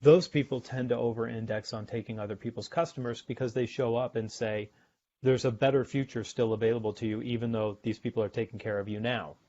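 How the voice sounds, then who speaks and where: A middle-aged American man, a sales author and podcast guest, explaining his ideas in a measured way and often repeating words as he thinks. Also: medium pitch, steady tone, dark tone. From United States. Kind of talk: podcast interview. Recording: dull lo-fi remote audio.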